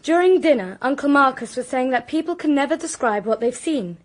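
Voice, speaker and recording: High-pitched, anxious young British woman. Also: lively intonation, fairly quick. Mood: composed, wry. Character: anxious, articulate young niece recounting a crime. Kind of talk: British radio drama acting.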